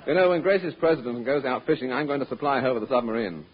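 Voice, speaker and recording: A man playing an affable, silly comic sidekick with a mock-gentlemanly manner in old radio comedy. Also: mid-range voice, lively intonation, quick pace, slightly rough. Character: genial, silly male sidekick with absurd excuses. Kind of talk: 1940s radio comedy acting. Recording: muffled, noisy old radio recording.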